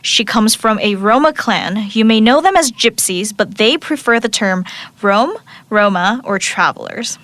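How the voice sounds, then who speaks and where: A woman's voice: medium pitch, lively intonation, a little slow, fairly bright tone, dry recording. A woman hosting an interview podcast, politely introducing her guest from notes and asking questions. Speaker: podcast host.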